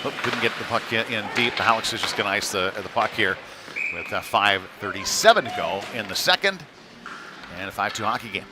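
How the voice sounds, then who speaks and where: Man calling hockey over clear rink noise. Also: fairly low pitch, animated, brisk. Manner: casual, conversational, hesitant phrasing. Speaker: hockey play-by-play commentator. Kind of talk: live amateur sports commentary. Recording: noisy live arena sound.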